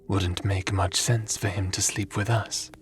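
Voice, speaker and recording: A man playing a calm, curious, formal god-like spirit in an ASMR audio roleplay, speaking in measured, pensive phrases. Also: sits low, faint music behind.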